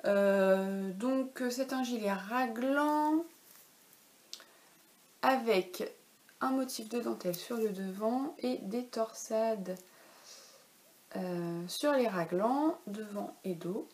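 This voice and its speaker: A woman's voice: on the high side, slow pace, pauses often, a little mellow. Chatty woman with many hesitations. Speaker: knitwear designer presenting her work. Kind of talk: casual knitting podcast chat. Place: home, in daylight.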